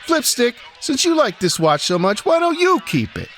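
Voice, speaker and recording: Man acting a high-voiced smug gangster villain. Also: very animated, normal speed, fairly bright tone, slight background noise, full character voice. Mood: goading. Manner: mocking, goading. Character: smug taunting male card-cheat villain. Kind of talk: gangster radio drama acting.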